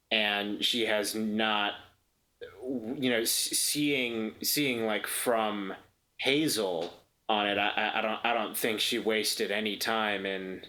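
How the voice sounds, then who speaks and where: A man improvising scene description for a tabletop game in casual, halting talk, repeating words as he thinks. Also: lowish voice, bright, forceful tone, low-quality recording. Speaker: player on an actual play podcast.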